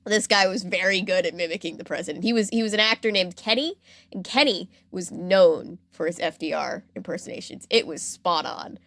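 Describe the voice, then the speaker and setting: A woman's voice: fairly low pitch, a little fast, fairly bright tone. A woman hosting a podcast, telling a story in a playful, informal, conversational way with casual asides. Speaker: podcast host.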